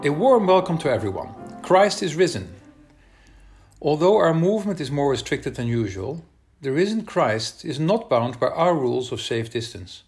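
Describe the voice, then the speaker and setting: A man with a Dutch accent leading a worship service, reading scripture and praying in a calm, measured way. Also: fairly high pitch, soft background music.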